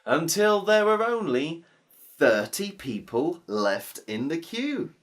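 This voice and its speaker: Woman storyteller, low voice, very lively pitch, slow. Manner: expressive, playful, drawn-out repetitions. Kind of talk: storytelling for children.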